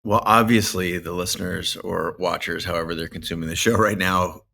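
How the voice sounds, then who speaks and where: A man hosting an interview show, asking long, winding questions at a measured pace. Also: low-pitched, steady tone, creaky, bright, forceful tone. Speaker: podcast host.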